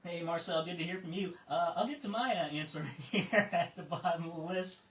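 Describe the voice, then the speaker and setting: An American man acting as ham radio net control, reading procedures in a measured way with casual asides. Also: high voice, fairly bright tone. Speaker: amateur radio net control operator and host. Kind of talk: reading a net script and chatting with check-ins. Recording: roomy, distant mic with faint noise.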